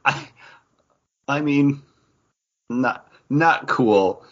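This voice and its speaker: A man hosting a casual podcast, chatting in an animated, joking way with quick asides. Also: medium pitch, highs cut off. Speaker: podcast host.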